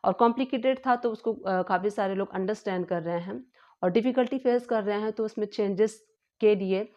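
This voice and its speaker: A woman talks through tax changes like an informal lesson, her pitch sitting in a middle range. She explains step by step, hesitating often and circling back over her points. The recording sounds a little dull and lo-fi. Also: brisk, darkish tone. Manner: explanatory, repetitive, hesitant with frequent fillers. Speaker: channel host explaining tax news. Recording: slightly dull lo-fi home recording.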